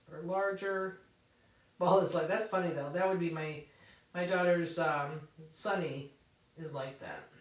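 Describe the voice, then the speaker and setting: A woman hosting a casual craft Q&A, answering viewers' questions and thinking aloud while she demonstrates on her computer, laughing often. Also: medium pitch. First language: English. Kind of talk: casual live Q&A with on-screen demonstration. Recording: roomy echo, a bit far from the mic.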